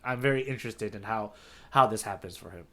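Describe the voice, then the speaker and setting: A man's voice: on the low side, fast talker, pushed and bright. Analytical man in podcast chat. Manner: analytical, checks in with "right?". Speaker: podcast co-host who has read ahead, the guide.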